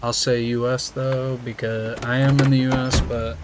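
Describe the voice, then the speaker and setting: A young American man, just out of high school, chatting casually and rambling with viewers while he plays. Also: flat delivery, moderate pace, slight background noise. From United States. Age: young adult. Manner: laid-back, rambling, slangy. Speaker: streamer. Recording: music playing behind.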